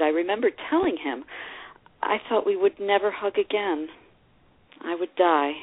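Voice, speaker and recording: An actress plays a reflective older divorced woman telling her memoir, tender and easily hurt. Her voice is very low for a woman and moves expressively. It comes dry and thin, as through a phone or small radio. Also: no echo, narrow, tinny audio. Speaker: actor reading a memoir. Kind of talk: dramatized first-person memoir reading.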